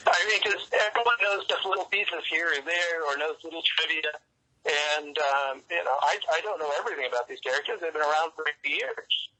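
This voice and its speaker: A man speaking casually as an interview guest, explaining things in a halting, rambling way over a telephone line. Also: a little high, sharp tone. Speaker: interview guest, comics writer and fan club organizer.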